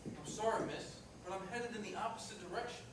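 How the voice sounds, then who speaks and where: A skeptical, plainspoken cab driver, played by a man in a stage play, with a notably high voice for a man. He is heard from far off in a very echoey, noisy hall. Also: fast, lightly acted. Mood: friendly, obliging. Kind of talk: live staged play reading.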